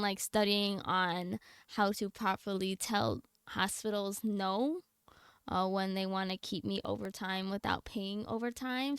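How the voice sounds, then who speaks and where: A woman's voice: mid-range voice, a bit bright, clean tone, no echo, studio quality. A young adult woman livestreamer chatting casually with her viewers in a slangy, blunt, candid way. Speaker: game livestreamer.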